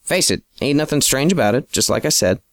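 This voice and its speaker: A man's voice: medium pitch, expressive, brisk, dry recording, clean studio sound, pitched above the performer's own voice, natural voice. A man voicing a smug, stubborn crew boss who lectures and brags. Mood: cocky. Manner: cocky, lecturing.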